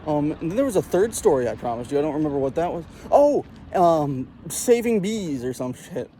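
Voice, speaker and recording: A man's voice: a little high, brisk, a little hiss or hum. A man telling personal stories in a casual, profane, comedic way, acting out the dialogue as he goes. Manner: profane, animated retelling with acted dialogue. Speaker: storyteller.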